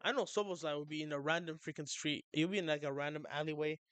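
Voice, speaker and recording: An excitable young man chatting on a livestream, rambling and stumbling over his words. His voice sits a little high and comes through smooth and clear. The sound is dry and close. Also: fast, no echo. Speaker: streamer. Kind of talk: casual livestream chat.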